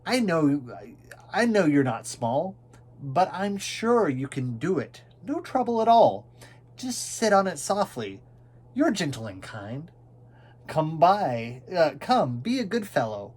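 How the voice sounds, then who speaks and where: A man's voice: on the high side, very animated, slow pace, darkish tone, breathy edge, exaggerated voice. A man voicing a flighty, breezy female bird in a children's storybook, coaxing in a wheedling sing-song. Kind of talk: children's storybook character reading.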